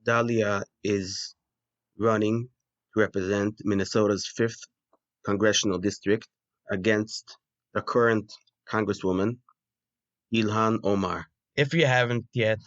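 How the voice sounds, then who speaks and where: A male podcast host with a fairly low voice, polite and measured, reading his opening and posing questions. The sound is close and dry, with no room around it. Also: steady tone, no echo, lo-fi sound. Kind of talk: scripted intro then interview questions.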